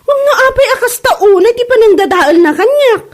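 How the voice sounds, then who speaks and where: A woman performs an aggrieved market seller with a very high voice and an Ilocano accent. She is quick-tempered and fretful, in the manner of a comedy radio drama. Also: expressive. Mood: exasperated.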